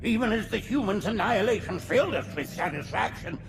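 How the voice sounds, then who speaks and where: An ancient, frail alien priest-ruler with a high, quavering, creaky voice. He preaches with fervent reverence, his pitch sweeping up and down. Music swells under him throughout. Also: expressive, a little fast. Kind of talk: video game cutscene acting. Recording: game soundtrack with music behind.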